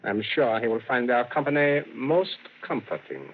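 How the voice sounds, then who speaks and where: An actor playing a suave, menacing general who holds captives, speaking formally with smooth irony in an old-time radio drama. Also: male voice, medium pitch, moderate pace, a little hiss or hum, lightly acted. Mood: coolly menacing. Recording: muffled vintage radio recording.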